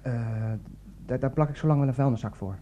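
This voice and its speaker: A man's voice: medium pitch, fast, warm tone, lo-fi sound, lightly acted. An actor playing a polite, timid, injured young man in a 1990s radio drama, hesitant and apologetic. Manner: hesitant, deferential.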